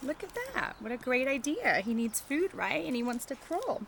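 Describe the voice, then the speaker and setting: A woman teacher doing an animated read-aloud of a picture book for young children, chatting and asking them questions as she goes. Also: sits high, moderate pace, vocal fry, slight background noise. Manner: engaging the children with questions and tag questions.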